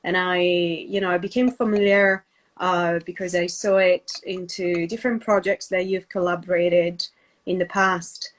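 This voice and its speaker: A woman's voice: lowish voice, steady tone, a little slow. A woman interviewing a guest in a casual live talk, with a polite, tentative way of phrasing things. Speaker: interviewer, host.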